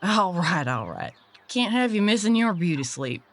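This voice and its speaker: An actress voices a gruff, seasoned female boss in an audio drama. Her voice is a bit low. She gives orders bluntly, with dry sarcasm and folksy slang. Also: big pitch swings, moderate pace. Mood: exasperated. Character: gruff, sardonic Southern woman pest catcher, seasoned boss. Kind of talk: audio drama character acting.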